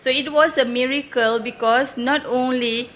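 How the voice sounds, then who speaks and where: A Malaysian-accented woman speaks as an interview guest in a fairly high voice. She recounts her travels step by step, haltingly and off the cuff, with ambient noise behind her. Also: fairly even intonation, fairly bright tone. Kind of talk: unscripted interview answers, travel storytelling.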